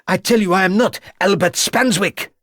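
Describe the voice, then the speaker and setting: A man's voice: deep voice, very animated, moderate pace, no echo, studio quality. A man voicing a cornered male con man who drops a fake French accent for a Cockney one, pleading and sly. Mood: desperate. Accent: fake French, then Cockney. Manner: pleading, rising to desperate shouts.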